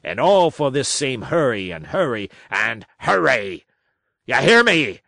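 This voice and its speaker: A man's voice: medium pitch, very animated, unhurried, gravelly, bright, forceful tone, no echo, clean studio sound, full character voice. A man reading a storybook, voicing a shrewd, domineering pirate sea cook with salty, emphatic sailor talk. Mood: scornful, heated.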